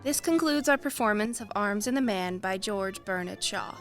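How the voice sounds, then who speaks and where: A woman's voice: mid-range voice, animated, normal speed. A woman reading a scripted closing announcement as the announcer of a radio play. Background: faint violin music.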